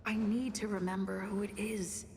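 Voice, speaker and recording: A woman playing a pensive game investigator, musing deliberately to herself in a reverberant voice over music. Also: mid-range voice, little pitch movement, a little mellow, slight background noise, lightly acted. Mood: musing, intent. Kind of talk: scripted video game voice acting.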